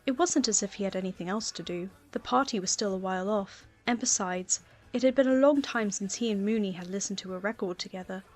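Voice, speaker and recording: A woman's voice: mid-range voice, a little fast, few pauses. Woman narrating a story over music. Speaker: audiobook narrator of fan fiction. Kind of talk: scripted story narration with acted dialogue. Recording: music playing underneath.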